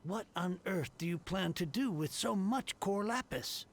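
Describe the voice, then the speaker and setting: Nervous trader, high for a man, gravelly, jumpy, faint hiss. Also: expressive, a little slow. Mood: anxious. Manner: anxious, disbelieving. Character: nervous, downtrodden middle-aged male trader.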